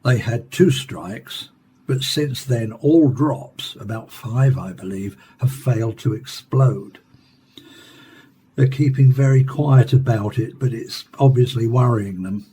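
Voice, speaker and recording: Radio drama actor: grave scientist, mid-pitched, relaxed timbre, explanatory and formal. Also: male voice, slow pace, slight background noise, lightly acted. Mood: grave, measured. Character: measured male scientist, expert on an alien invasion. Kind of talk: radio drama acting as a studio interview guest.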